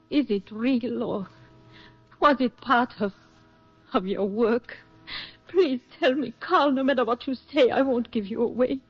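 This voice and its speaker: Slow, husky-voiced devoted lover, 1940s radio. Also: female voice, on the high side, highs cut off. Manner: earnest, emotional, measured delivery. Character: devoted woman in love, anxious, tender, protective. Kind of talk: 1940s radio drama acting.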